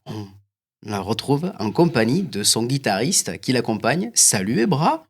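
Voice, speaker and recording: A male radio show host interviewing guests in a studio, asking questions briskly with quick asides. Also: medium pitch, sing-song. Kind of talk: hosting an interview.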